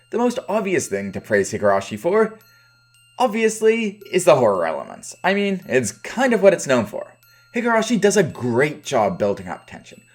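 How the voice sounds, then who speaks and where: A man delivering a scripted video review in casual, opinionated phrasing with dry understatement. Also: sits high.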